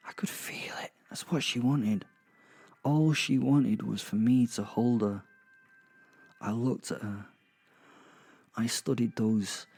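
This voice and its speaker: A man playing a remorseless, cocky werewolf killer, narrating a horror audio drama in a wry, deliberate, musing, sweary way. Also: on the low side, warm tone. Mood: brooding. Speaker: narrator and protagonist. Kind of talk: first-person dramatic narration.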